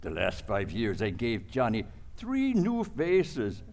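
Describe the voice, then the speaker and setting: A man playing a nervous, placating crooked doctor sidekick in an old-time radio comedy, soothing in simple, halting phrases. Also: medium pitch, huge pitch swings, gravelly, darkish tone, full character voice.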